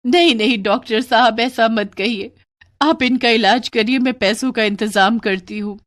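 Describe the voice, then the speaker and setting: A woman's voice: on the high side, normal speed, a bit bright, dry recording, voice pushed up, natural voice. A woman acts out a poor seamstress mother from a moral tale. She is humble and worried, pleading earnestly with those around her. The sound is close and dry. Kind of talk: Hindi moral story dramatized narration.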